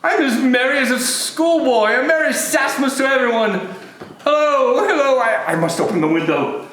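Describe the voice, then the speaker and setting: A man playing a remorseful old miser in a theatrical reading, halting, pleading and exclamatory. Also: very high-pitched, lively intonation, fairly bright tone, a little hiss or hum. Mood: giddy relief. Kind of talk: theatrical dramatic stage reading. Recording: roomy, echoing, music underneath.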